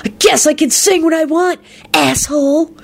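A woman voicing a defiant drunken man with a screechy, off-key falsetto in a story. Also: moderate pace, creaky.

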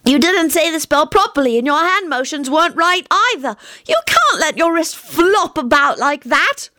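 A woman's voice: high voice, lively intonation, normal speed, pushed and bright, no echo, studio quality, voice pushed up. A woman doing a chirpy, precocious wizard boy. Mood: exasperated. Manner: earnest, matter-of-fact, chirpy. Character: precocious blunt young wizard boy, cheeky.

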